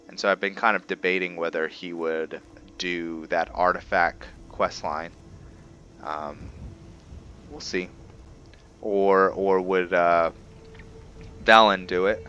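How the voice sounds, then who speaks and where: A man hosting a roleplay playthrough with casual game commentary, halting as he thinks aloud. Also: low voice, muffled recording. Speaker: gameplay host, roleplayer. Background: soft background game music.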